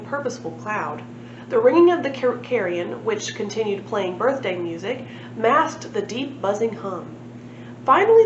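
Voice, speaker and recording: A woman reading a storybook aloud as a library reader. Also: medium pitch. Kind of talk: storybook narration with casual asides. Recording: echoey room, dull sound, noticeable noise.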